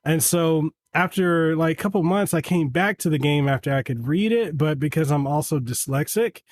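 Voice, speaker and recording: A man's voice: fairly high pitch, normal speed, no echo. A middle-aged man from Western Samoa, whose first language is Samoan, talks in a slightly raised voice. He tells his story loosely and animatedly, slipping into the voices of his family. The sound is dry and close, with no room around him. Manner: animated, acts out family dialogue. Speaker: guest. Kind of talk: casual storytelling.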